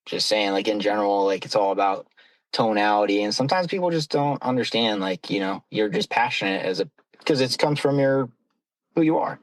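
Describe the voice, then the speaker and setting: A man's voice: lowish voice, animated, brisk, no echo, low-quality recording. A young man chatting casually as a podcast guest, earnest and rambling. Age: young adult. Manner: earnest, rambling, talks with his hands. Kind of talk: casual chat.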